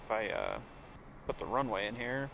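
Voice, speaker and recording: A man's voice: lowish voice, wildly swooping pitch, normal speed, creaky, fairly bright tone, slight background noise, low-quality recording. A man, a pilot, chatting casually over a headset in a small aircraft cockpit. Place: small turboprop cockpit in flight. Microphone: aviation headset. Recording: engine drone, cockpit headset audio.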